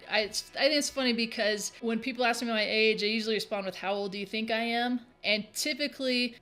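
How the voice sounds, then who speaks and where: A woman's voice: medium pitch, fairly bright tone. A woman in her thirties hosting a casual Q&A, reading out viewer questions and answering them in a chatty, upbeat way. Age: 30s. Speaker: game tutorial host.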